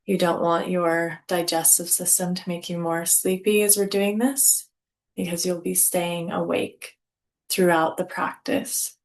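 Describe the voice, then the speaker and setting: A woman leading a guided yoga nidra relaxation as instructor, speaking calmly in short, deliberate phrases with pauses. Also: fairly low pitch.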